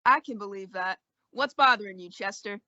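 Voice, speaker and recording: A woman's voice: medium pitch, normal speed, bright, forceful tone, no echo, lo-fi sound. A woman in an old-time western radio play, voicing a crusty, teasing, long-winded frontier doctor. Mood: gruff.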